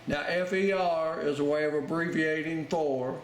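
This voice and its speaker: A man giving an informal ham radio lesson, talking in halting pieces as he explains a demonstration step by step. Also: on the high side, steady tone. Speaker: amateur radio instructor. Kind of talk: informal instructional narration over a demonstration. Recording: roomy, loud noise, radio clicks and tones.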